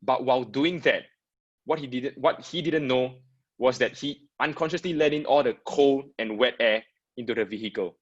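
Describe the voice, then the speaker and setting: A man from Singapore talks through an online design presentation in a loose, chatty way, often repeating himself and leaning on fillers. His voice sits at an ordinary pitch and comes through a dull, lo-fi video call line. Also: normal speed, slightly rough. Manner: casual, repeats words, many fillers. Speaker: guest speaker, product designer. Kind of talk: webinar presentation.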